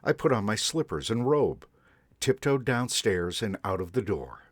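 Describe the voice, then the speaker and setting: Slow, expressive man reading a storybook. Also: on the low side, no echo. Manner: gentle, unhurried, expressive reading. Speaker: storyteller in a Santa persona. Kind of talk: storybook read-aloud to children.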